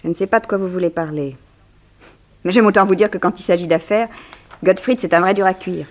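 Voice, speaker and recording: A woman's voice: lowish voice, a little fast, natural voice. An actress plays a wily captive woman in a 1960s French radio drama. She stays poised and dry. Her delivery is persuasive and cool under threat. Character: cool, wily captive female accomplice of a banker. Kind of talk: 1960s French radio crime serial acting.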